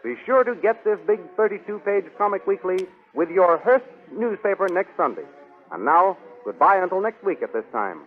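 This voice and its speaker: Old time radio announcer guy, highish voice, big dramatic narrating, tinny with a bit of music. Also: very animated, moderate pace. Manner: dramatic, declamatory, showman-like. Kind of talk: 1930s radio serial narration. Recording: tinny 1930s radio recording.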